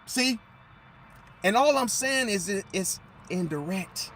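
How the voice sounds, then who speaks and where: A man, slightly hoarse and losing his voice, lecturing viewers in a casual, emphatic way, repeating himself and firing off rhetorical questions. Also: high voice, lively intonation, slow, fairly bright tone, slight background noise. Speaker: astrology commentator. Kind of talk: casual talking monologue to viewers.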